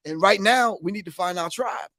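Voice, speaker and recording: High-voiced emphatic American man. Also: normal speed, vocal fry, bright, forceful tone, dry recording, studio quality. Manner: emphatic, preaching cadence, repeats "man" and "exactly". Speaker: guest. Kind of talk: casual conversation.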